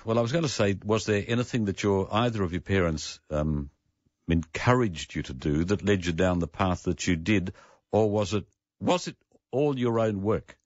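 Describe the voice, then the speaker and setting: This is a man hosting talk radio with a low-pitched voice. He questions in a deliberate, probing, conversational way, circling back on his phrases to press the point. The sound is very dry and a little muffled on top. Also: darkish tone, no echo. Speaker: radio talk show host. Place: radio studio. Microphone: close studio microphone. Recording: slightly dull radio studio audio.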